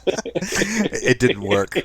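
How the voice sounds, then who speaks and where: A man co-hosting a podcast, talking loudly with many hesitant fillers as he reads chat comments. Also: medium pitch, sing-song, drawn-out words, husky. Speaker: podcast co-host reading chat. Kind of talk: casual chat.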